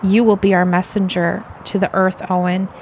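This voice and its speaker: A woman's voice: mid-range voice, little pitch movement, moderate pace, darkish tone, a little hiss or hum, close to an everyday voice. A woman playing an earnest, serene alien envoy in an audio play, with stilted, formal phrasing.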